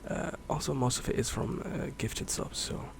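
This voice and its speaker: A man in his late twenties, a streamer rambling in a soft-spoken, candid way, swearing casually and slipping into whispers. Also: medium pitch, moderate pace, vocal fry, noisy background. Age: 20s. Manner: candid, swears casually, many fillers and restarts; drifts between soft speech and whisper.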